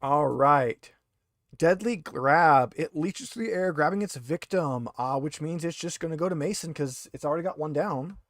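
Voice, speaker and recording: A man's voice: a little high, animated, normal speed, no echo, clean studio sound. A man acting as game master for an online tabletop roleplaying game, explaining things informally.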